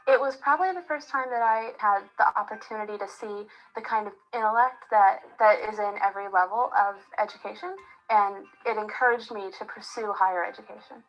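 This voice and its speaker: A woman from the southern United States speaking earnestly, with some halting restarts, in a recorded testimonial. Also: mid-range voice, highs cut off, faint music behind.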